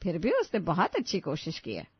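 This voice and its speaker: A kindly older mother-in-law from a storybook, put on by a woman narrator, protective and gently instructing. The recording is dry and slightly dull. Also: fairly low pitch, wildly swooping pitch, a little fast, husky, no room sound, full character voice. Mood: soothing.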